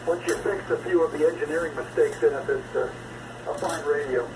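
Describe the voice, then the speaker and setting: High-pitched older man on noisy ham radio. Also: a little fast. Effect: shortwave single sideband radio. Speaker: amateur radio operator. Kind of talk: casual chat over ham radio. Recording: noisy, echoey, distant single sideband radio reception.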